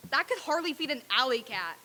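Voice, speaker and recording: Woman playing a sharp, high-voiced grumpy old miser. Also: animated, fairly quick, heavily acted. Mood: scornful. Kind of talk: old time radio stage reading.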